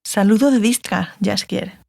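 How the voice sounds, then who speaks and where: Fantasy audiobook sorceress, female actor: stern, dry, authoritative, mid-pitched with plenty of vocal fry. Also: unhurried, darkish tone. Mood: confidential, wry. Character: stern, sardonic, commanding sorceress. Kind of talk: dramatized fantasy audiobook dialogue.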